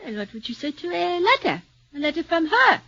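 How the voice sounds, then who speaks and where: Scheming, high-voiced radio villainess, sharp. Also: female voice, big pitch swings, fairly quick, heavily acted. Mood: sly, gloating. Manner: melodramatic, sly, emphatic. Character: scheming, vengeful woman, brazen and melodramatic. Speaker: radio actor. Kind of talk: 1940s radio acting. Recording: dull 1940s radio recording.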